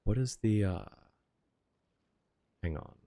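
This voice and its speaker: A man's voice: on the low side, expressive, takes it slowly, no room sound, clean studio sound. A man doing casual livestream commentary on a video game, rambling and deliberating aloud as he goes. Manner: rambling, deliberating, self-correcting. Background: soft game music.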